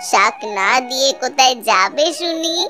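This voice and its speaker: A woman voicing an affectionate, gently scolding old village grandmother in a cartoon, in a folksy, fond way. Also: high voice, expressive, moderate pace. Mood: fond, spirited. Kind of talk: Bengali cartoon voice acting. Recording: loud music behind the voice. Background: music.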